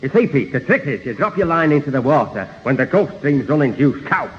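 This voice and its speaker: Man playing a pompous, jovial fibber, lively swooping delivery, slightly high, old radio comedy with hall reverb. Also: fairly quick, close to an everyday voice. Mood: boastful. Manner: pompous, boastful storytelling. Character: boastful tall-tale-telling neighbour, blustering and jovial. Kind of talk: 1970s radio sitcom acting before an audience. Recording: muffled old radio hall recording, hiss.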